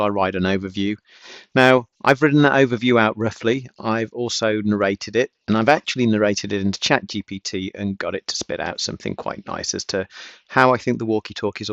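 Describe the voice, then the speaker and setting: A man's voice: fairly low pitch, fairly bright tone. A man narrating a casual explanatory vlog, recorded with a dull, roomy sound. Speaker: vlogger, amateur coder.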